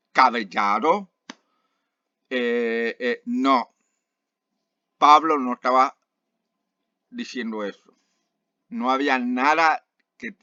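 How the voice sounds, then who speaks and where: Slow-talking man with many fillers. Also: mid-range voice, pushed and bright, no echo, muffled recording. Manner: halting, with many fillers and restarts. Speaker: online Bible teacher. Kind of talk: casual video commentary.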